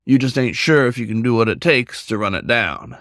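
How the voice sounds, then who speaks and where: A man voicing a wise, gentle male werewolf in a story, speaking in a measured, folksy, reassuring way. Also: mid-range voice, expressive, dry recording, studio quality.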